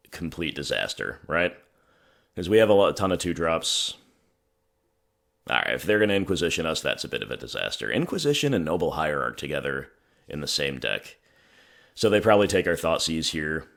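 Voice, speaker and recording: Hoarse man with a low voice, wry gameplay commentary, talks through his decisions. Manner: thinking aloud, wry, self-mocking. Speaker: gaming channel host.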